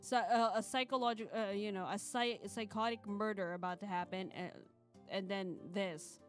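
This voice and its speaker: A woman's voice: mid-range voice, fairly even intonation, normal speed. A woman hosting a live stream, chatting playfully and self-deprecatingly while reading a visual novel aloud with expression. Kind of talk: live stream chat mixed with expressive narration. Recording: music playing behind the voice. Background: background music.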